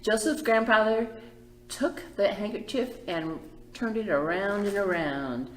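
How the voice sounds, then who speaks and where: A woman reading a picture book aloud to children as a library storyteller, expressive and emphatic, with chatty asides. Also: mid-range voice, unhurried, echoey room, slight background noise, rough recording.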